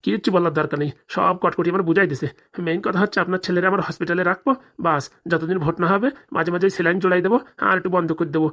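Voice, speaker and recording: A woman acts out a crooked village doctor, a greedy man from a comic cartoon. The voice is on the low side for a woman and races along. He is brash, colloquial and full of boasts. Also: fairly even intonation, rapid-fire, lightly acted. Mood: boastful. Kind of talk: comic cartoon drama acting.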